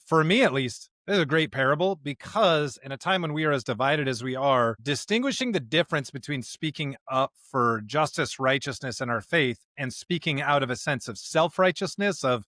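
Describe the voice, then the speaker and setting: A man co-hosting a podcast in a conversational discussion of scripture, speaking emphatically in halting bursts and often checking in with a rhetorical question. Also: medium pitch, expressive, sharp tone, no room sound, studio quality. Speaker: podcast co-host.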